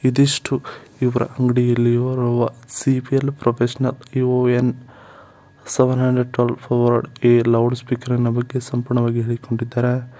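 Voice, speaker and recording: A man's voice: medium pitch, monotone, moderate pace, dark tone. A man narrating a scripted profile voice-over in a formulaic, repetitive announcer-like way. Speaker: channel host narrator.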